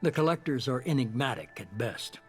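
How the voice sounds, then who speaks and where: An actor playing a shadowy, calculating middle-aged leader in a sci-fi game, speaking with measured, composed authority. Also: male voice, mid-range voice, animated, breathy edge, faint music behind. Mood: cool, measured. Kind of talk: cinematic sci-fi game dialogue.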